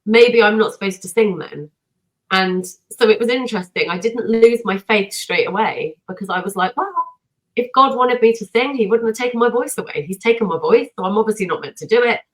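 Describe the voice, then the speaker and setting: A woman singer and vocal coach, a guest on a podcast, telling her story in an energetic, self-deprecating way. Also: mid-range voice, fairly quick, low-quality recording. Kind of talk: casual podcast conversation.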